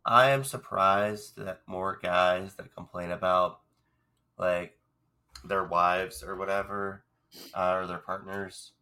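A man with a cold co-hosts a casual book podcast, his voice congested and a little hoarse. He talks low and deep with a bright, forceful edge. He rambles and restarts, poking fun at himself. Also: fairly even intonation, slow. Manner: rambling, self-deprecating, restarts often. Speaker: podcast co-host. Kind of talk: casual chat.

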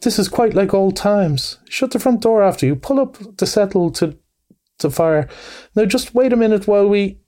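A man voicing an eager, excitable mole in a storybook, a homely host who is easily flustered. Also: high voice, normal speed, pitched above the performer's own voice.

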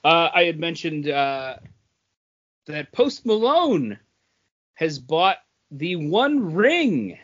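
Male podcast co-host, slow and halting, casual news chat, slightly dull lo-fi sound. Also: on the high side, big pitch swings, fairly bright tone. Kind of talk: casual chat about movie and comics news.

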